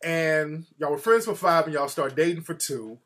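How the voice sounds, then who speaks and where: Male host, high voice, crude humor, quick follow-ups. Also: animated, a bit bright. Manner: blunt and joking, laughs often, quick follow-up questions. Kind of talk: casual chat.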